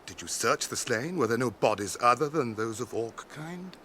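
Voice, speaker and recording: An actor playing a noble, stern wandering ranger and heir to kings, formal and commanding, in a radio fantasy drama. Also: male voice, mid-range voice, animated, normal speed, pushed and bright, slight background noise. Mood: grave, urgent.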